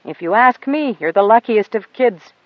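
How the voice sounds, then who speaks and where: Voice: mid-range voice, lively intonation, moderate pace, no room sound, muffled recording, pitched above the performer's own voice, close to an everyday voice. An audiobook narrator voicing a kind, blunt airship pilot with a folksy way of talking. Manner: warm, folksy, drops g's.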